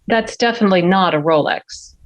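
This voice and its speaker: A woman's voice: fairly low pitch, fairly quick. A woman reading scene headings and action lines as narrator at a script table read. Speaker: stage direction narrator.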